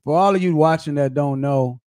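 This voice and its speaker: A man hosting a casual podcast, talking in a hyped, slangy way with loud greetings. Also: fairly high pitch, fairly quick, dry recording, clean studio sound. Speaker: podcast host.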